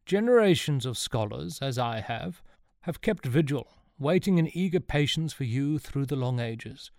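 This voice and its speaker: A man's voice: mid-range voice, expressive, moderate pace, gravelly, a little mellow, no room sound, clean studio sound, voice pushed up. A man voicing an elderly eccentric professor, once an adventurer, speaking in a theatrical, old-fashioned way. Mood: grand reverence.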